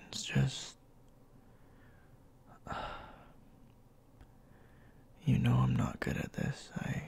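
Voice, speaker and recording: A man playing a gruff, worried and tender young boyfriend in an ASMR roleplay, speaking softly and haltingly in a breathy, gravelly half-whisper. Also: fairly low pitch, exaggerated voice. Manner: soft, halting, intimate.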